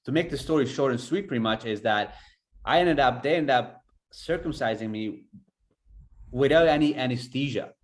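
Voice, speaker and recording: A man from Afghanistan hosting a podcast, telling a personal story in an earnest, halting way. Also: medium pitch. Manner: earnest, halting, many fillers. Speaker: podcast host.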